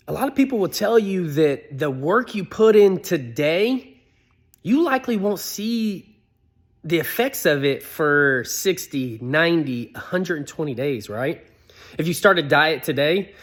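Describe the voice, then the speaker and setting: A man in his thirties giving a casual motivational talk, conversational, with many rhetorical questions and fillers. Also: a little high. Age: 30s. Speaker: motivational speaker.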